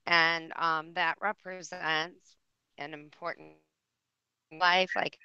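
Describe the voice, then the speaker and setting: A woman with a hoarse voice giving a tarot reading on air, rambling and searching for words. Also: low-pitched, level tone, takes it slowly, pushed and bright, dull audio. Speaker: psychic reader.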